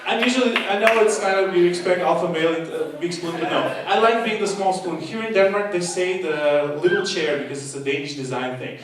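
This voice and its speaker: A man's voice: sits high, a little fast, hardly any pauses, a loud crowd. A young man doing a chatty, playful stand-up set, joking with the audience and mocking himself. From half Portuguese, half Argentinian. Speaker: stand-up comedian and course leader. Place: live comedy show in a hall. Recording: echoey hall from far off, noisy. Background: audience laughter.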